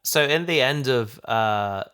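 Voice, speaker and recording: Male podcast host, mid-pitched voice, conversational, thinks aloud with broken-off starts. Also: lively intonation, slow, pushed and bright, clear, resonant tone. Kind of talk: casual discussion of an audio drama.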